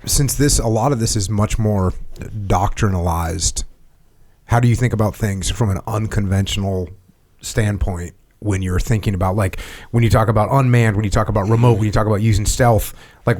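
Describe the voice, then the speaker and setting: Low-voiced man hosting a podcast. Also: darkish tone. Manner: blunt, probing questions and plain storytelling. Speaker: podcast host. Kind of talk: casual conversational interview.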